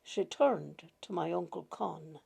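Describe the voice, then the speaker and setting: A woman gently reading a literary short story aloud in a measured, deliberate way. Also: on the low side, vocal fry, slightly rough. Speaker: reader of short stories.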